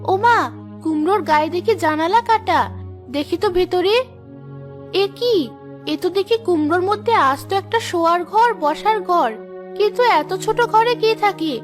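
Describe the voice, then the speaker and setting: A woman's voice: very high-pitched, moderate pace, faint music behind. A woman voicing a poor, devoted, worried young village mother in a cartoon, with a dramatic, exclaiming delivery. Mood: puzzled. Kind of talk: Bengali cartoon voice acting.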